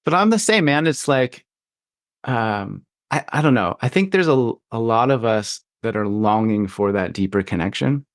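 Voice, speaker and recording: A man's voice: medium pitch, lively intonation, normal speed, no echo, studio quality. A man interviewing a guest in a reflective, conversational style, with thoughtful and articulate phrasing. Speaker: podcast interviewer.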